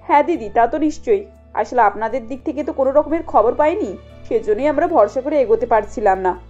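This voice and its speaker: A woman's voice: high voice, lively intonation, brisk, mellow, slightly rough, dull audio, faint music behind. Woman acting a meek, worried cartoon mother. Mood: placating. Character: self-sacrificing, worried middle-aged mother of poor household.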